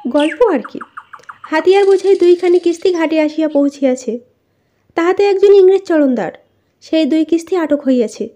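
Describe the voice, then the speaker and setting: High-voiced cheeky maidservant read by a woman. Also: normal speed, warm tone. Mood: matter-of-fact. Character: bold, teasing maidservant of a royal harem. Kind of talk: audiobook character reading. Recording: dull, lo-fi voice recording.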